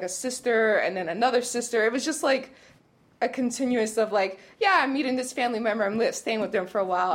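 A young woman from Brooklyn, a storyteller and comedian telling her life story on a radio interview, laughing often between her words. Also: medium pitch. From Brooklyn, New York. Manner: laughs often, talks with many fillers and restarts. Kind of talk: casual interview talk.

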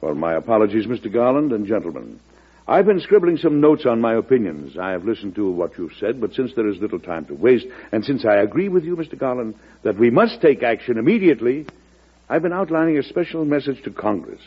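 A resolute, idealistic American president in a classic radio play. His husky voice is courteous and measured, with oratorical swings of pitch. The old recording sounds dull. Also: very animated. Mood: solemn, earnest. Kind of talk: old-time radio drama acting.

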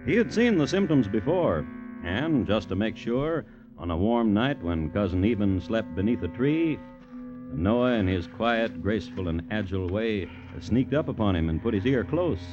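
An actor narrating a dark folk tale for a classic radio drama as a wry, deliberate storyteller. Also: male voice, lowish voice, sing-song. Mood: wry, ominous. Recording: dull old radio recording. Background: music.

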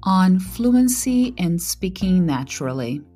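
A woman podcast host from Brazil, a native Portuguese speaker, telling a measured, reflective personal monologue. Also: on the low side, sing-song, dark tone, slightly rough, soft background music.

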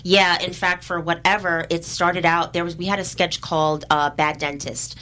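A woman's voice: on the low side, level tone, moderate pace, pushed and bright. A middle-aged woman, a guest comedian and actress, chatting casually in an interview and rambling with lots of fillers and restarts.

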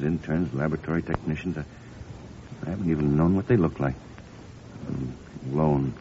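Deep-voiced anxious patient, old radio. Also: male voice. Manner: halting, pleading. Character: anxious, despairing male patient with bandaged eyes. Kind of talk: 1940s radio drama acting. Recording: dull, noisy old radio recording.

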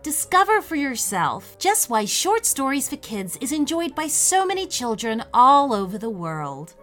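A woman's voice: high voice, big pitch swings, unhurried, a bit bright, soft background music. A woman hosting a children's podcast, reading sponsor and premium announcements with upbeat enthusiasm. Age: adult. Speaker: podcast host. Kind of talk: promotional announcement.

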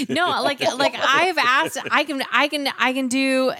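A woman's voice: sits high, takes it slowly, bright, forceful tone, dry recording. A woman co-hosting a talk show in upbeat, chatty banter. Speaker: co-host. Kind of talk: casual chat.

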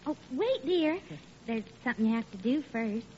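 An actress playing a sweet, anxious, devoted newlywed bride, fretful and tender, in old radio comedy style. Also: female voice, fairly high pitch, animated, normal speed, a little mellow, close to an everyday voice. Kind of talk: 1940s radio comedy acting. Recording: muffled old radio broadcast, faint noise.

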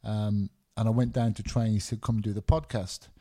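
A middle-aged man from Scotland who grew up in England, speaking with a dark, mellow tone. He tells long, meandering stories with frequent fillers and restarts, in a relaxed podcast chat. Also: on the low side, moderate pace. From Scotland, raised in England. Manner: candid, rambling storyteller with many fillers. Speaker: MMA referee, guest. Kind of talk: casual podcast interview.

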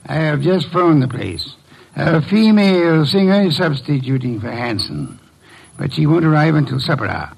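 A fussy, commanding detective boss from an old radio mystery. His voice is gravelly and sits a little high for a man. He speaks in formal, precise sentences with a pompous air. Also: animated, normal speed, darkish tone, heavily acted, poor audio. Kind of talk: old-time radio detective drama acting.

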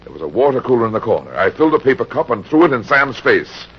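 Dull old radio recording, shrewd heavyset private eye, American, hard-boiled, husky, animated pitch. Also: male voice, fast talker. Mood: narrating tersely. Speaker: actor playing detective and narrator. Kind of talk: old-time radio detective drama acting.

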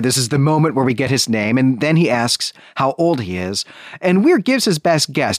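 A man's voice: medium pitch, no echo, clean studio sound. A man co-hosting a literary discussion podcast, explaining in a precise, careful way with small qualifications. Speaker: podcast co-host.

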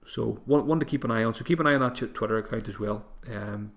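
Hoarse man hosting a podcast. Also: medium pitch, fast. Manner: thinking aloud, frequent restarts and fillers. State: voice getting hoarse. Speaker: podcast host. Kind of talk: solo podcast advice talk.

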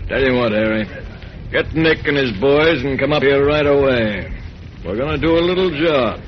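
A man's voice: mid-range voice, lively intonation, normal speed, slight background noise, close to an everyday voice. Man acting a cocky American crime-boss politician, old radio. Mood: calculating. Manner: slangy tough-guy talk, dismissive. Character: heavy-set middle-aged male political boss, crooked, cocky, calculating. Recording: muffled old radio recording, busy room murmur.

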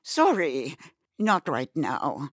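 A woman as a slow, gloomy low-voiced storyteller. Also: huge pitch swings, dry recording, clean studio sound, heavily acted. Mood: despondent. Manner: despondent, sighing, subdued. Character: gloomy, sulking, apologetic storyteller narrator. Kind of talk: fantasy audio drama acting.

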